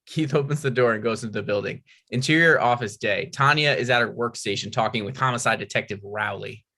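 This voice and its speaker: A man's voice: medium pitch, fairly quick, bright, forceful tone. A man narrating stage directions, reading a screenplay aloud at a table read.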